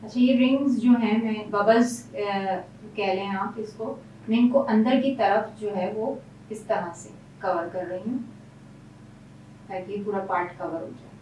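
A woman's voice: medium pitch, unhurried, darkish tone, reverberant, far from the mic, a little hiss or hum, rough recording. A woman hairstylist explaining each step of a hairstyle as she works in a salon. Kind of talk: hairstyling tutorial. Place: salon room.

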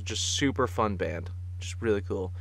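A man co-hosting a podcast in casual comic chat, telling stories in an animated way and chuckling as he talks. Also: lowish voice, normal speed, vocal fry, no echo. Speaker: podcast co-host.

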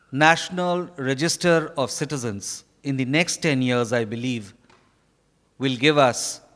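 A man in his fifties with an Indian accent gives a formal prepared speech in halting, deliberate short phrases. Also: mid-range voice, a bit bright. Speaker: author addressing an event. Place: stage in a large hotel ballroom. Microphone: handheld microphone. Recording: reverberant hall, live stage microphone.

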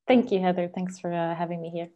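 Low, mellow young Hungarian-accented woman. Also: little pitch movement, quick pace, muffled recording. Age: 20s. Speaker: interviewed energy policy consultant. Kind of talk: explanatory interview answer. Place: small room indoors, video call. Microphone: earphone headset.

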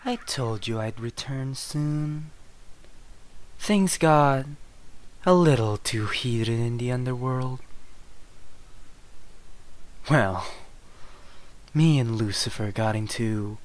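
Man playing a slow-talking possessive demon lover. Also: medium pitch, close to an everyday voice. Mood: smug, affectionate. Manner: slow, teasing, softly menacing. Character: possessive, menacing yet doting male demon lover. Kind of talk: ASMR roleplay, intimate second-person acting.

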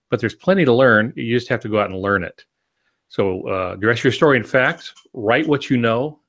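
Guy in his 60s, lowish voice, explaining tips casually on a call. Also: a bit bright. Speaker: podcast co-host, novelist. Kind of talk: conversational teaching. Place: home office, video call.

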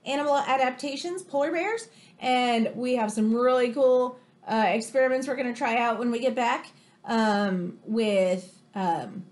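Expressive, high-pitched American woman reading aloud. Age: 30s. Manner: expressive, exclamatory, questioning. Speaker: teacher reading to children. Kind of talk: animated read-aloud of a picture book with chatty asides. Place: room in a home, indoors.

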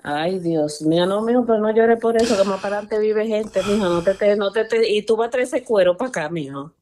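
A woman's voice: mid-range voice, brisk, mellow. A woman with a Dominican accent talking casually on the phone, brash and insistent, often repeating herself. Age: young adult. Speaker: prank call recipient. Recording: noisy phone call.

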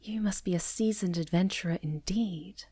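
A woman playing a sweet, timid young moth girl in an ASMR roleplay, speaking softly and breathily with hesitant stammers. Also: on the low side, moderate pace. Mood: shy, warm. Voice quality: soft, breathy, often near a whisper.

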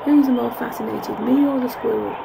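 Woman, very gravelly fry, highish pitch, casual vlog narration, musing, outdoor camera recording. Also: fairly even intonation, brisk. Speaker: vlogger. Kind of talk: casual chatty narration of a park walk. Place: outdoors in a public park. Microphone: handheld camera's built-in mic. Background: clear park ambience noise.

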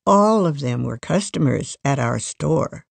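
A voice reading a first-person life story from a magazine in a measured, steady way. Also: medium pitch, gravelly, husky, dry recording.

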